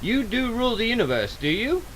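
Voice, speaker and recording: A man putting on a high, exasperated interrogator. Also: sing-song, a little fast, a bit bright, a little hiss or hum, exaggerated voice. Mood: probing. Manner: insistent, emphatic, indignant. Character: exasperated, insistent male interrogator demanding answers about the universe. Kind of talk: dramatic audiobook character voice.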